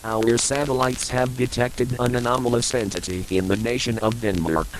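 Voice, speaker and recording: A man playing a solemn government emergency broadcast announcer in an analog horror piece, formal and measured. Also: medium pitch, steady tone, slight background noise, lightly acted, rough recording. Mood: grave, official.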